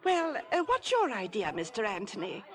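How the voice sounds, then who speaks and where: An actress playing a gullible, giggly society matron in a 1950s radio drama, coy and fluttery. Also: female voice, mid-range voice, wildly swooping pitch, moderate pace, fairly bright tone. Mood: amused, coy. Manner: fluttery, coy, easily amused. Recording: vintage radio broadcast with party crowd.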